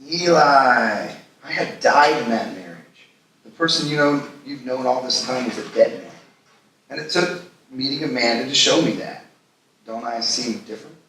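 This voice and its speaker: A man's voice: medium pitch, moderate pace, sharp tone, lightly acted. Man playing gushing lovestruck guy in echoey hall. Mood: earnest. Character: smitten man in his thirties, self-absorbed, giddy about new romance. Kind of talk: live screenplay table read. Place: live festival stage reading in a large room. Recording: echoey hall, distant mic, noisy.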